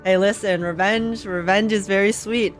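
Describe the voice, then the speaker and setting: A young woman streamer giving casual, slangy, excitable live reactions to a game. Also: mid-range voice, normal speed. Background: game music in background.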